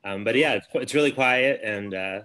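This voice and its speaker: A man chatting casually from his apartment over a video call, in a relaxed and rambling way. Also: mid-range voice, moderate pace. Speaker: guest dancer. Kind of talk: casual chat. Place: home apartment.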